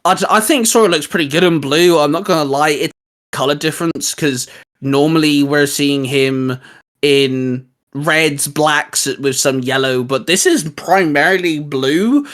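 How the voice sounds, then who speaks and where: A man's voice: on the high side, a little slow, a bit bright. A man hosting a casual gaming chat, talking in an enthusiastic, rambling way. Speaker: host.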